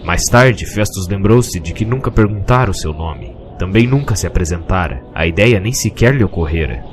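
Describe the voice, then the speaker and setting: A man's voice: sits low, expressive, normal speed, slight background noise. A man with a Brazilian accent narrating a scripted fantasy story.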